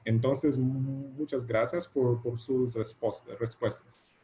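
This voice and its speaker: A man with a soft, mellow voice and a Portuguese-speaker's accent presents informally over a video call. He speaks haltingly, repeating words while he looks for the right one. There is faint noise behind him. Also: medium pitch, fairly even intonation. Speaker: guest lecturer, doctoral candidate.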